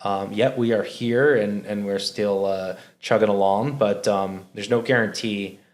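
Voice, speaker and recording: A man's voice: fairly low pitch, steady tone, a little slow. A man from Minnesota, a guest in a conversational interview, who talks in an earnest, reflective way. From Minnesota, United States.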